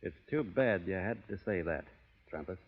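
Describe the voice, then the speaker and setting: Low-voiced stoic cowboy, old radio. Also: male voice, animated, moderate pace. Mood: calm, restrained. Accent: American. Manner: plain-spoken cowboy drawl, restrained. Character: stoic, honor-bound cowboy foreman, slow to anger. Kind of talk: 1940s radio western acting. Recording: muffled vintage radio broadcast, hall reverb.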